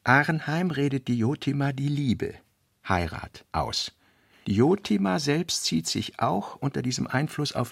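A man narrating literary notes for a radio play in a deliberate, fragmentary way, weighting key words. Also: medium pitch, gravelly, fairly bright tone, slightly rough, dry recording, clean studio sound. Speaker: narrator reading a novelist's working notes. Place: dry studio.

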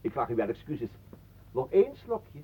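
An actor playing a talkative, mock-polite wanderer with florid, absurd philosophy in a radio play. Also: male voice, mid-range voice, very animated, normal speed, husky. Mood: florid, teasing. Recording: muffled, narrow old radio recording.

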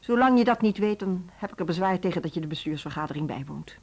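A cool, strict school director, lowish voice, curt and businesslike. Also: female voice, animated, fast, darkish tone, slightly rough. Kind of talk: radio drama acting.

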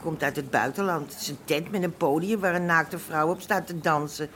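Radio drama: grumbling Amsterdam underworld wife, fairly low register, dry, worn-down, curt. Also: female voice, fairly quick, fairly bright tone, a little hiss or hum. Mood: weary, resigned. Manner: dry, curt, plain-spoken. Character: weary, grumbling wife of an Amsterdam red-light boss. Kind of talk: Dutch radio drama acting.

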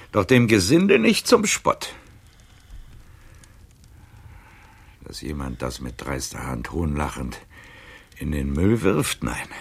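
Casting: proud, wounded old libertine for radio drama. Slow, rasping, theatrical declamation with whispers and outbursts. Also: male voice, very deep voice, full character voice. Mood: bitter, proud. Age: older. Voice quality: gravelly, husky, breaking into whispers. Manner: declamatory, swinging from muttering to outcries. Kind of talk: literary radio drama acting in verse.

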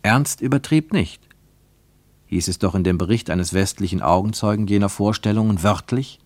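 Male radio play narrator, lowish voice. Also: darkish tone, no room sound. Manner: measured storytelling.

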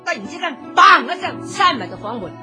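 Female drama narrator, high register, expressive swings, theatrical storytelling to listeners over a music bed. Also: brisk.

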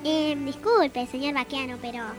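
A woman's voice: high voice, moderate pace, close to an everyday voice. A woman voicing a timid, over-polite fantasy traveller with a hesitant, fussy manner in a radio play. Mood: hesitant pleading. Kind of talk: radio drama acting. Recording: radio play with music underneath.

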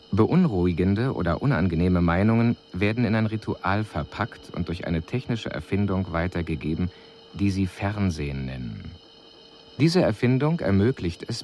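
An actor playing a detached extraterrestrial envoy in a radio drama, reporting on humans in measured, clinical, formal phrases. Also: male voice, low-pitched, a little mellow. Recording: faint hiss on 1980s radio production.

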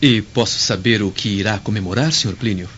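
Man acting a husky, furtive Roman slave. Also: fairly low pitch, fairly quick, a bit bright. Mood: guarded, deferential. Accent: Brazilian. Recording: muffled audio.

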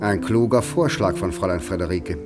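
An actor as the composed, literary narrator of a 1950s German radio drama, measured in delivery. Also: male voice, sits low, natural voice. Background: plucked guitar music.

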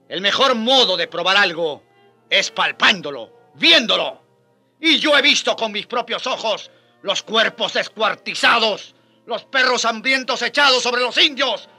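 An old friar full of indignation in a radio serial. His voice is very high and sharply forceful, emphatic as an orator's, with music behind him. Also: full character voice. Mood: indignant. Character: old, passionate, indignant Spanish friar defending the Indians. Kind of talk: radio drama acting.

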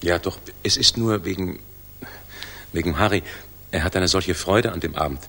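An actor playing a weary, devoted German fighter pilot in a 1960s radio drama, with a husky, breathy voice. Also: male voice, low voice, normal speed, pushed and bright, exaggerated voice. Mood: tired, tender.

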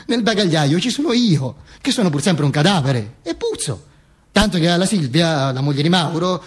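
A man's voice: high voice, slightly rough. A man performing a theatrical comic monologue as a dead man telling his own story, mock-serious and emphatic, with repetitions and asides. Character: Mussolini's corpse narrating its own misadventures with ironic wit. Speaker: stage actor and monologist.

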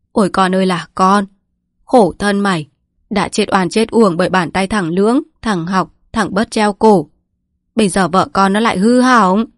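A woman's voice: on the low side, darkish tone, dry recording, natural voice. Storybook role: frail, dying old family patriarch read by a woman, rambling, bitter and mournful, very dry close sound. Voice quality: weak, breathy, trembling delivery. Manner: lamenting, rambling, scolding.

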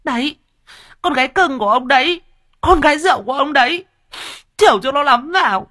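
A storyteller voicing a loud, nagging, middle-aged rich wife who screeches and wails, in a dry close recording. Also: high voice, animated, a little fast, pitched above the performer's own voice. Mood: shrill nagging.

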